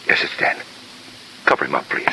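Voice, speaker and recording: An actor playing a curt, formal company manager with an air of authority in a 1950s radio drama. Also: male voice, brisk, pushed and bright, poor audio. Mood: shaken. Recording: old radio recording, faint noise.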